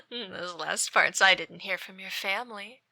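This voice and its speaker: A woman playing an obsessive, menacing yandere ex-girlfriend in an ASMR roleplay, breathy and often whispering, with a deliberate, taunting, theatrical delivery. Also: medium pitch, bright, forceful tone, no echo, heavily acted. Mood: taunting devotion. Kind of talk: ASMR roleplay, dramatic monologue. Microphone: close dry microphone.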